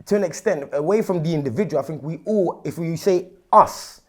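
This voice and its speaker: Panelist, male, London accent, fairly high voice, insistent and repetitive in argument. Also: brisk. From Nigerian family, grew up in England. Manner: insistent, repeats "do you get what I'm saying". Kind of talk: heated group discussion.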